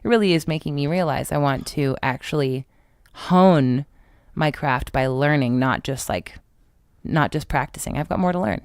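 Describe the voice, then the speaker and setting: A woman's voice: low-pitched, moderate pace, no echo, clean studio sound. A woman co-hosting a podcast, chatting casually with plenty of "like" fillers; she works as a voice actor. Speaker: podcast co-host. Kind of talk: casual chat.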